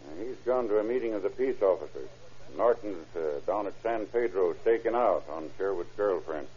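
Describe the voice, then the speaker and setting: An actor playing a stern, slangy, hard-nosed deputy in an old radio crime drama. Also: male voice, fairly low pitch, expressive, normal speed, dark tone, lightly acted. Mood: businesslike. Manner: blunt, slangy, authoritative. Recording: noisy, muffled old radio recording.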